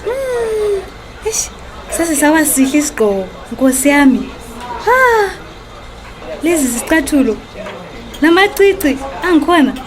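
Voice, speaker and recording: An actress playing a coy, expressive young mistress in a radio drama, with a Zimbabwean accent. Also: female voice, high voice, measured pace, long pauses, crowd noise, low-quality recording. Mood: coy, delighted. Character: flattered, coy young mistress, sharp when insulted.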